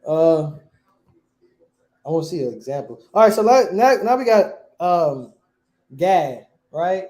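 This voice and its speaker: A man's voice: a little high, big pitch swings, a little slow, a little mellow, reverberant. A man teaching a class, quizzing his students and repeating key words.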